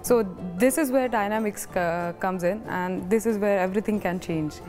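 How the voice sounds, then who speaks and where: A woman's voice: medium pitch, normal speed, a little mellow, soft background music. A woman from India, a student, talking earnestly about teamwork and field interviews with small hesitations. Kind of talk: interview comments.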